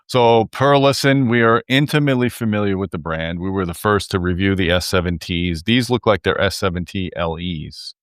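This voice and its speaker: An American man with a low, smooth and resonant voice hosts a casual chat. He sounds close and dry, with hardly any room on the recording. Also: normal speed, hardly any pauses, no echo. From United States. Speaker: host, audio reviewer.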